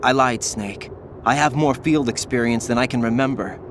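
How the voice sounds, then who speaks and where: A man's voice: medium pitch, moderate pace, noisy background, lightly acted. An actor in a video game cutscene playing a troubled young male soldier, intense and questioning. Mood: troubled, brooding.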